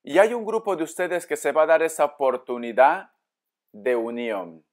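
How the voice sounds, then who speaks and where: A man's voice: a little high, normal speed. A man giving an informal tarot reading straight to viewers, explaining as he goes and answering his own questions. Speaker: tarot reader, host. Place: indoor room with books at a table.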